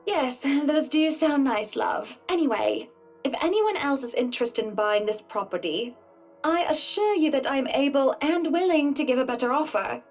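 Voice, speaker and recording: An actress playing a wealthy, gracious but imperious socialite wife in a visual novel, genteel and condescending. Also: female voice, high voice, lively intonation, moderate pace, soft background music. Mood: assured, imperious.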